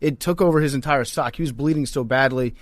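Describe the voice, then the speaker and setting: A man's voice: medium pitch, fast talker, no room sound. A man co-hosting a sports podcast in casual, profane banter, telling stories informally. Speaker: podcast co-host.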